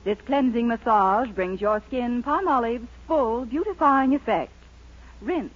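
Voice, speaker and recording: A woman's voice: a little high, animated, a little slow. A woman reading a scripted 1940s radio commercial as an announcer. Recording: dull 1940s radio recording.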